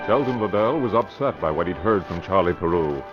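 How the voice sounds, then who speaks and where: Deep guy narrating old radio crime show, muffled recording, music behind him. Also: animated, fairly quick. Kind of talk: 1940s radio drama scripted narration. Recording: dull vintage radio recording.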